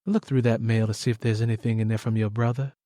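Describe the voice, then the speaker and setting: A man's voice: fairly low pitch, flat delivery, fast, no room sound, studio quality, close to an everyday voice. A man voicing a firm mother who calls out orders to her children in an audiobook story. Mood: firm, insistent. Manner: commanding, calling out loudly. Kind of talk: audiobook character acting.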